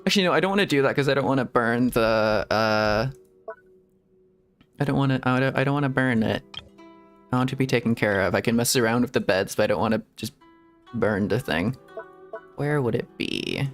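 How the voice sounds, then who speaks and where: A man's voice: medium pitch, fairly quick, long pauses, faint music behind. A man streaming a game, rambling to himself in casual commentary and giggling often. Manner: rambling self-talk with frequent giggles. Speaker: VTuber streamer.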